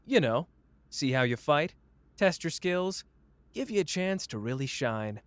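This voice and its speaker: A man's voice: fairly high pitch, big pitch swings, measured pace, clean tone, no room sound. A man playing a cocky, flamboyant young sorcerer who teases the listener in a playful, smug roleplay audio drama. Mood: smug, teasing. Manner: playful, smug, drawling teasing.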